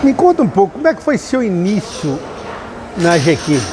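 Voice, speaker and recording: A man's voice: sits high, big pitch swings, moderate pace. A man conducting an informal interview, often repeating his own phrases as he goes. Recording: noisy location with vehicle sounds.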